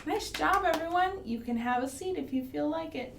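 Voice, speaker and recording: High-voiced American woman hosting children's storytime. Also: moderate pace, gravelly, slight background noise. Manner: expressive, sing-song delivery with gasps and hushed emphasis. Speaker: library storytime host for young children. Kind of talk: children's storytime with singing, rhymes and asides.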